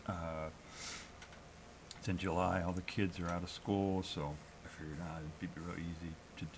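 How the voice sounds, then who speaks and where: An American man chatting casually and unscripted on a solo livestream, in a halting, hesitant, self-critical way. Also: deep voice, level tone, noisy background. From New England, US. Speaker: amateur live streamer.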